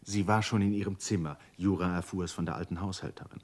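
Male narrator for radio play, very low voice, measured and serious literary delivery. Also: steady tone, a little mellow.

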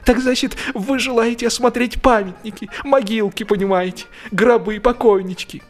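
A man voicing a bald, fussy old graveyard caretaker in a radio story, eager and servile, with a shrill, squeaky voice. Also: steady tone, soft background music. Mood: coaxing. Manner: hurried, exclaiming, obsequious.

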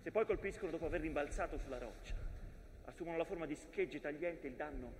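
A man acting a grim witness narrating the Great War in a theatre monologue, with deliberate, weighty phrasing. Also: fairly high pitch, lightly acted. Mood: tense, grave. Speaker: stage actor. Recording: echoey theatre hall, faint background noise.

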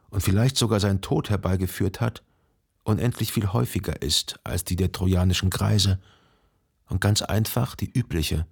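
Gravelly, reflective male narrator. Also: sits low, a little mellow. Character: reflective, lovelorn literary narrator recalling his own past. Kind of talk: literary radio drama narration.

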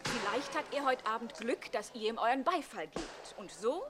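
Lively, high-pitched young lady's maid. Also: normal speed, noisy background. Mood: buoyant. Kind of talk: German radio play comedy acting.

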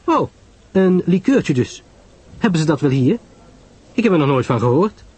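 A man playing an affable, modest English gentleman in an audio drama, with a light, breezy, sociable manner. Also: on the high side, sing-song, moderate pace, breathy edge, pitched above the performer's own voice, full character voice. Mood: breezy, amiable.

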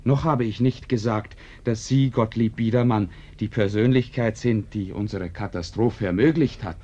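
An actor playing an urbane author who narrates a radio play with courteous, dry irony in measured phrases. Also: male voice, fairly low pitch, warm tone, lightly acted. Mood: courteous, ironic. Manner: courteous, dryly ironic, measured. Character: urbane, ironic male author narrating his own tale. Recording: dull, band-limited radio recording.

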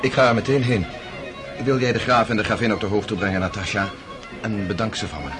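An actor playing a shy, clumsy, polite young gentleman in a radio drama. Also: fairly low pitch, fairly quick, lightly acted. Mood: concerned. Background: violin music.

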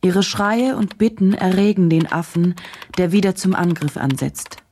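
An actress playing a journalist who narrates a case in a sober, detached, measured way for a radio drama. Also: female voice, fairly low pitch, darkish tone, natural voice. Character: woman journalist soberly reconstructing a dark case. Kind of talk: German radio drama, present-tense report narration. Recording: clear noise, typing clicks behind.

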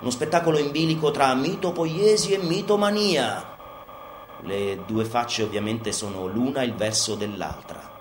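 A man playing a provocative theatrical showman, declaiming a scripted monologue with dramatic pauses. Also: noisy background, close to an everyday voice. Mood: declamatory. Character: brazen theatrical showman presenting a subversive guerrilla show. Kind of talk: scripted theatrical monologue over live music. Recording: echoey stage sound under loud live music. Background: live bass and guitar music.

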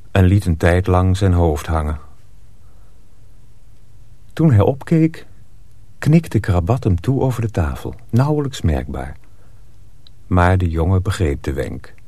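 A man narrating a radio drama in a measured, literary storytelling manner. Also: deep voice, mellow.

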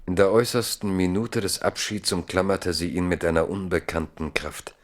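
Low male narrator for an old literary radio play, grave, deliberate, dramatic. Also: fairly even intonation.

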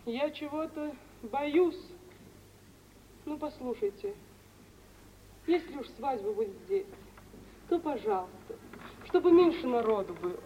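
A young bride in anguish, proud and deeply wounded. Her high voice draws the words out slowly, in vintage theatrical style, on a hissy old radio drama recording. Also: expressive, mellow. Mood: fearful, resigned. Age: young adult. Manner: drawn-out, emotional stage delivery. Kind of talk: 1940s theatrical radio acting. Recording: muffled, noisy old radio play recording.

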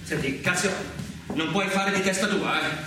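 An actor playing a scheming soldier in a stage drama, bossy and insistent while sounding helpful. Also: male voice, high-pitched, moderate pace, lightly acted. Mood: insistent, coaxing. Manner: insistent, prodding with short questions. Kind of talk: naturalistic Italian stage drama. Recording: very echoey, distant, loud noise.

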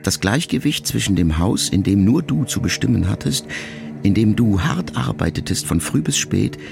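A man with a very low, gravelly voice reads a literary letter aloud. His delivery is measured and reflective, pausing often between phrases. Clear music plays underneath the narration. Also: animated. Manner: measured, reflective reading with long pauses. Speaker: audiobook reader. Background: soft music.

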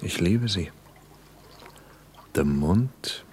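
An actor playing a tender, devoted lover in a radio play, talking in a gentle, coaxing, reassuring way. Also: male voice, low voice, lively intonation, drawn-out words, slight background noise, heavily acted. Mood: tender, playful. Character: tender, devoted male lover caring for his woman. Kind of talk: intimate radio play acting.

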